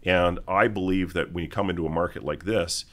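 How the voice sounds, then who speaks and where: A man in middle age with a very low voice speaks as an interview guest. He talks deliberately, stopping and restarting his sentences as he works through his points. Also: steady tone. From Canada, raised in Vancouver. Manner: halting and deliberate, many restarts. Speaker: mining company executive, guest. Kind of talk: interview answers.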